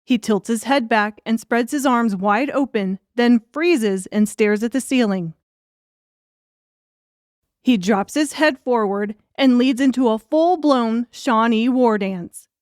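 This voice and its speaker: A woman's voice: on the high side, takes it slowly, a little mellow, no room sound, studio quality. A woman narrating a novel as a scripted audiobook.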